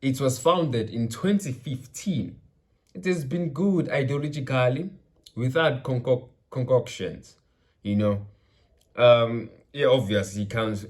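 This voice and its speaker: A man's voice: medium pitch, a little mellow. A South African man from KwaZulu-Natal, a Zulu speaker, hosting his channel with loose, rambling political commentary that mixes in Zulu phrases. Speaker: channel host. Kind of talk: casual political commentary vlog.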